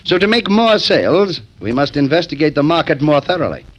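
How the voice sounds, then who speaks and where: A man's voice: on the high side, big pitch swings, fairly quick, slightly rough, lo-fi sound. A man playing a smug crime syndicate boss who lectures like a company executive, in 1940s radio acting style. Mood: smooth, lecturing. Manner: pompous, lecturing, businesslike. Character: smug male murder-syndicate boss talking like a businessman. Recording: vintage 1940s radio recording.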